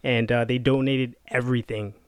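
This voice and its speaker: A man's voice: mid-range voice, steady tone, moderate pace, no room sound, clean studio sound. A man co-hosting a casual podcast, talking in a laid-back way and laughing often. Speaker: podcast co-host.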